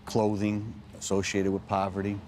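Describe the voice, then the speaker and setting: A man's voice: lowish voice, completely flat delivery, normal speed, a little mellow, slight background noise. A man working as a school attendance officer, explaining things in an interview.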